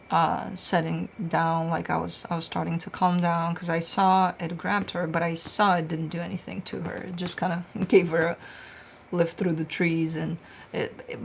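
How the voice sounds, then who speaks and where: A woman's voice: fairly low pitch, gravelly, slight background noise. A woman, a tarot reader chatting casually on a livestream, telling stories and answering viewer questions. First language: Romanian.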